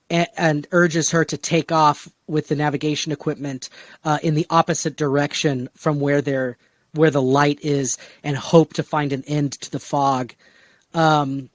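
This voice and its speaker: A man casually brainstorming on a discussion podcast, talking in a halting way with vague, trailing asides. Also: a little high, no room sound. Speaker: podcast co-host pitching story ideas.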